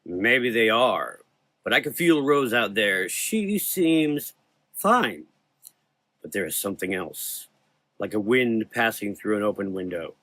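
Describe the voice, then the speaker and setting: A man voicing an eerie, calm shadow avenger in a gothic horror audio drama, taunting in a smooth, deliberate way. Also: fairly low pitch, dry recording, heavily acted. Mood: calm, foreboding.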